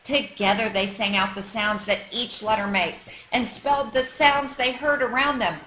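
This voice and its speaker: A woman's voice: a little high, normal speed, pushed and bright, slight background noise, rough recording. A woman teacher reading a children's story aloud expressively, with an emphatic, teacherly delivery aimed at young children. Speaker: kindergarten teacher.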